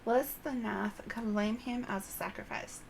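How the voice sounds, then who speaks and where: A woman voicing a courteous insectoid elder storyteller from a game, with formal, archaic, drawn-out phrasing. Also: mid-range voice, fairly even intonation, vocal fry, background noise. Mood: solemn, measured. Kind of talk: game dialogue read aloud.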